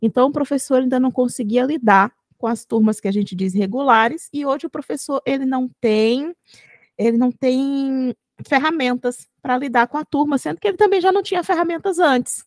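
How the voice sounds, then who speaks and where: A woman's voice: sits high, brisk, no room sound. A Brazilian woman co-hosting a podcast, arguing her point in an earnest, emphatic way. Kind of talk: casual podcast discussion.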